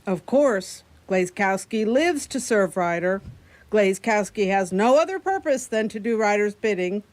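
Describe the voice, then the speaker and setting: A woman's voice: medium pitch, animated, unhurried, natural voice. A woman playing a servile, eager singing waiter in a comic radio play, with a theatrical delivery. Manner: theatrical, speaks of self in third person.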